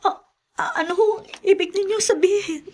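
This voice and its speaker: High-voiced anxious, flustered mother. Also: darkish tone. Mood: worried, tearful. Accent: Filipino. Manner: flustered, halting, deferential. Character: anxious, tearful adoptive mother. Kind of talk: Filipino radio drama acting.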